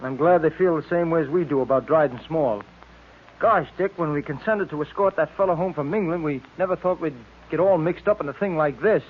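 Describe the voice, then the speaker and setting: A man plays a plain-spoken, hearty police sidekick in a 1940s-style radio play. His voice is a little high and his manner folksy. The recording is thin, like a phone line. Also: a little fast, a little hiss or hum, close to an everyday voice. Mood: relieved, marveling. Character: hearty, folksy police partner of a detective hero. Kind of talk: 1940s radio drama acting. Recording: tinny old radio recording.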